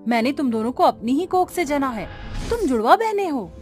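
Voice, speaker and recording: A woman's voice: high voice, big pitch swings, a little fast, a little mellow, faint music behind. A woman voicing a caring, worried mother in a cartoon, earnest and admonishing.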